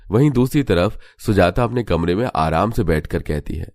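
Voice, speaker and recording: A man's voice: sits low, expressive, normal speed, a little mellow, no echo. A man narrating a scripted cartoon story.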